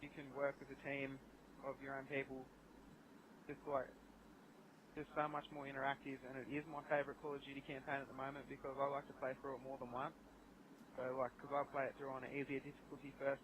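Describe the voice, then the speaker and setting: A man's voice: mid-range voice, completely flat delivery, fast talker. A man chatting casually as a guest in a gaming discussion. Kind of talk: casual chat. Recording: noisy voice chat.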